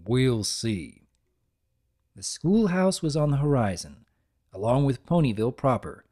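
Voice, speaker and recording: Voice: medium pitch, sing-song, normal speed, mellow. A narrator giving a dramatic reading of a story in scripted storybook style.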